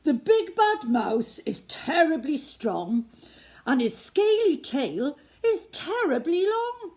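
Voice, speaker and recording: A woman voicing the Gruffalo, a big hairy male monster, speaking dramatically in a storybook reading. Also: high-pitched, big pitch swings, slow, darkish tone, pitched above the performer's own voice, heavily acted. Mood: dramatic.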